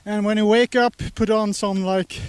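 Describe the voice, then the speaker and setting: A man, reporting live outdoors with a handheld mic while walking up a hill, halting with many pauses. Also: high-pitched, fairly even intonation, clean tone, no room sound. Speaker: trackside race reporter. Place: outdoors on a grassy hillside. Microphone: handheld microphone.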